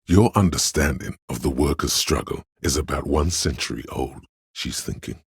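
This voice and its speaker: A man voicing a detective's pretentious, arty inner voice for a video game, theatrical and deliberate, with a gravelly, husky tone. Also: rumbling low, no room sound, studio quality, heavily acted. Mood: wry, reflective. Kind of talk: video game narration, second-person commentary.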